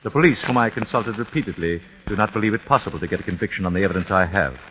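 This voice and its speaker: A man's voice: fairly low pitch, fairly bright tone, natural voice. A man playing a cold, vengeful host. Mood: cold, menacing. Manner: measured, formal, icily polite. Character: grim vengeful father, cold and formal. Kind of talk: 1940s radio acting. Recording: dull lo-fi old radio recording with faint hiss.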